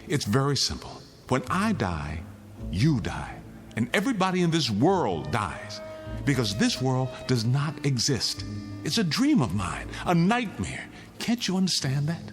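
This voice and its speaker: Urgent condemned prisoner, wildly animated. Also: male voice, mid-range voice, a little slow, soft background music. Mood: weary, resigned, then urgent, insistent. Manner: insistent, pleading, arguing in rhetorical questions. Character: condemned male prisoner insisting the world is his nightmare, weary, urgent. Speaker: actor. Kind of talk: radio drama acting.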